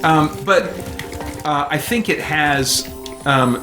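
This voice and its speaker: A man hosting a livestream in casual chat, talking in a halting, rambling way as he searches for words. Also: on the high side, music in the background. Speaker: livestream host.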